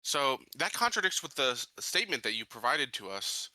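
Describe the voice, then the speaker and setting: A man playing a tabletop game, improvising courtroom questions with halting, somewhat formal phrasing. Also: mid-range voice, sing-song, pushed and bright, dry recording, rough recording. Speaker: tabletop player questioning a witness in character.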